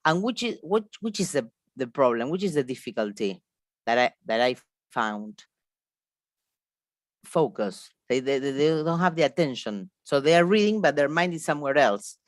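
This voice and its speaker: A woman's voice: deep voice, expressive, normal speed, no echo, studio quality. A woman with an Argentine Spanish accent presenting a teacher-training seminar, speaking spontaneously and emphatically, repeating phrases and checking in with short questions. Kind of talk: online seminar lecture, spontaneous.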